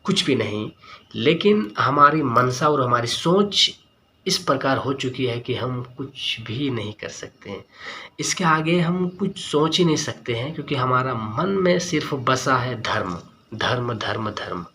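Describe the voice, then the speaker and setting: A man's voice: mid-range voice, lively intonation, a little slow, pushed and bright. Emphatic man over background noise. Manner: emphatic, repeats key words and phrases. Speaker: vlogger. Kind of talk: earnest opinion monologue to viewers.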